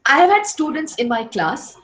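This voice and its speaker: Lively, high-voiced woman lecturing. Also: moderate pace. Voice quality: loud voice. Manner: earnest, explanatory, poses rhetorical questions. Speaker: educator giving a workshop talk. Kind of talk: lecture to fellow teachers. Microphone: online session. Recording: echoey room.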